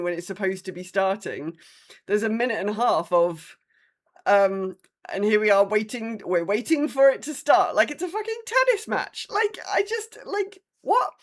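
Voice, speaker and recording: A woman's voice: medium pitch, expressive, normal speed. A woman co-hosting a podcast, chatting casually about gaming in a blunt, sweary way. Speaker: podcast co-host. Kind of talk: casual chat about an online game.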